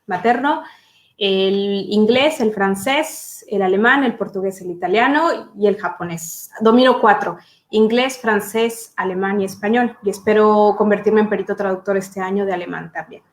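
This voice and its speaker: A woman translator chats on a video call, easing into her sentences with fillers. Her voice sits at an average pitch. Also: moderate pace. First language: Spanish. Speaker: sworn translator. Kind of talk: casual video call chat.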